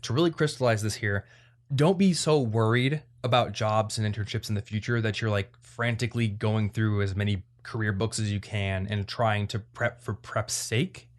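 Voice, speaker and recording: A man's voice: lowish voice, steady tone, normal speed. A man hosting an advice podcast, talking in a casual, conversational way as he explains things. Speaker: podcast host.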